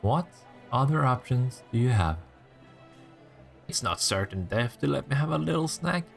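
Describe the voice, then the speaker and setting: Man acting a sly, creaky-voiced teasing vampire. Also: medium pitch, lively intonation, normal speed, soft background music. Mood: coaxing. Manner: sardonic, taunting, playful. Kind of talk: roleplay audio drama, intimate one-sided dialogue.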